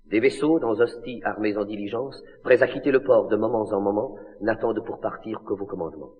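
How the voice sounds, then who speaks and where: A man's voice: on the low side, fairly quick, narrow, tinny audio, lightly acted. An actor playing a king's loyal, deferential confidant in classical tragedy, declaiming formal verse earnestly. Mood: respectful, eager. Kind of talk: classical tragedy stage acting. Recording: long reverb of a large hall.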